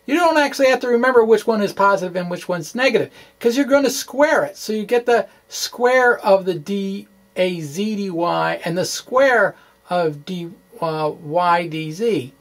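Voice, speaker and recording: A man explaining physics in an informal walkthrough, thinking aloud in a halting way and correcting himself, with wry asides. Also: sits high, lively intonation. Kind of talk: informal math walkthrough, reading and ad-libbing.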